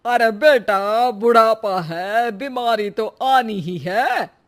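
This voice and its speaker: A woman's voice: a little high, extremely slow. A woman voicing a weary, resigned elderly mother in a cartoon family story.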